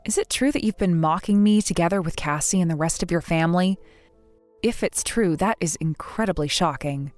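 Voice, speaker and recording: A woman in her thirties voicing a patient, polite bride-to-be who speaks in a soft, measured, restrained way. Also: lowish voice, lively intonation, no echo, soft background music, close to an everyday voice. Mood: hurt. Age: 30s.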